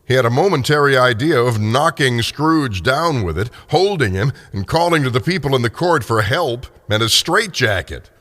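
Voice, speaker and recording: A man's voice: mid-range voice, expressive, normal speed, hardly any pauses, a bit bright. An older man narrating a classic tale as a dramatic storyteller.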